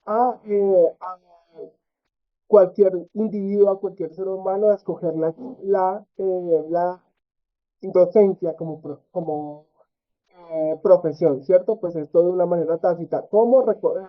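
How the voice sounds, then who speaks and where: A man giving an academic research presentation at a conference, halting often with filler sounds and tag questions. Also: high-pitched, steady tone. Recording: tinny phone-like audio.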